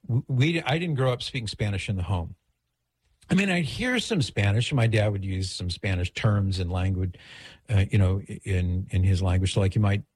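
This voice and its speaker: Low, crisp-voiced middle-aged radio host, American man. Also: darkish tone, no room sound. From United States, Los Angeles area. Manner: conversational, rambling asides. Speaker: radio call-in show host. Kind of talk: casual talk radio, reading listener email and reminiscing. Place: radio studio.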